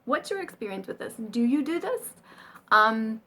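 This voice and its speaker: A woman's voice: on the high side, very animated, moderate pace, bright, forceful tone. A middle-aged American woman chatting casually to camera about learning Chinese, rambling and self-deprecating, with frequent ums and little laughs. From United States.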